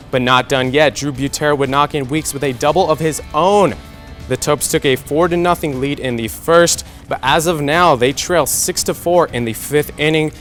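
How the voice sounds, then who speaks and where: Higher-voiced young male sports anchor. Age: young adult. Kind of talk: scripted TV sports newscast.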